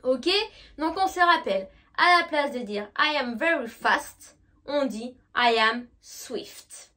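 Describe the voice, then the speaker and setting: High-voiced French woman teaching English. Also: pushed and bright. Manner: friendly, direct, addresses viewer informally, slows down to model English words. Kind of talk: online language lesson.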